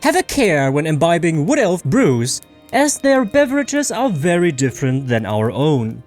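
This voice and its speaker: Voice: medium pitch, sing-song, unhurried, darkish tone, soft background music. A narrator playing a haughty, zealous elven official who declaims propaganda in a pompous, officious manner. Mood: prim, officious.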